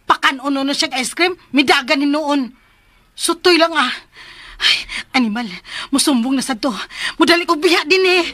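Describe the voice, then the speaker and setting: A woman's voice: high voice, normal speed, sharp tone. An actress in a radio drama playing a chatty, exasperated female shop vendor.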